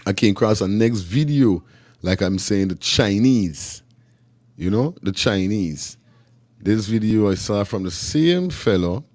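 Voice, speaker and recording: A man's voice: low-pitched, no room sound. A man with a Guyanese accent hosting a casual live stream, talking in repetitive, slangy street talk. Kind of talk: casual live chat commentary.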